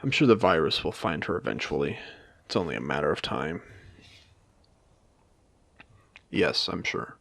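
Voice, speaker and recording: A man voicing a suave, world-weary machine casino owner in an audio roleplay, speaking to the listener in a measured, genteel, theatrical way with pointed pauses. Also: a bass voice, very animated, creaky, a bit bright, no echo, full character voice. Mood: cold, resigned. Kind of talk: intimate scripted audio roleplay addressed to the listener.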